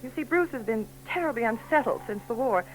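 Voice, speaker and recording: A woman's voice: fairly high pitch, expressive, fairly quick, natural voice. An actress playing an anxious, genteel young married woman in 1940s radio drama style, speaking politely and hesitantly. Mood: earnest, worried. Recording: noisy 1940s radio recording with hum.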